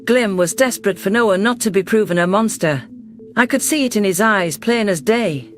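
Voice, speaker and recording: A man narrating a science fiction story in the first person with steady storytelling delivery. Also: sits high, moderate pace, soft background music.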